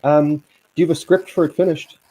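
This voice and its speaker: A man hosting a casual live stream, slightly hoarse and halting, with many restarts. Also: fairly high pitch, fairly even intonation, warm tone, poor audio. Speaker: stream host.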